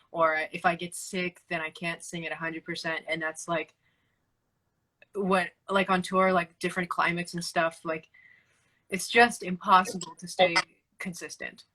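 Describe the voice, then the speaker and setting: A woman's voice: lowish voice, sharp tone, poor audio. A female metal vocalist in a casual chat, talking in a chatty, self-deprecating way with lots of asides. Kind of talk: casual conversation about singing.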